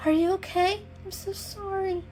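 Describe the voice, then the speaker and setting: Woman voicing a gentle, caring girl cat. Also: very high-pitched, fairly even intonation, normal speed, soft background music, pitched above the performer's own voice. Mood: concerned. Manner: soft, concerned. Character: gentle, timid, caring young female cat.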